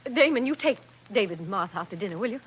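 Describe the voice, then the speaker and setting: An actress playing a fragile, exhausted wife under a spell, hesitant and submissive, in 1940s radio drama style. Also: female voice, mid-range voice, lively intonation, racing, close to an everyday voice. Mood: weary, shaken. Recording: noisy old radio recording.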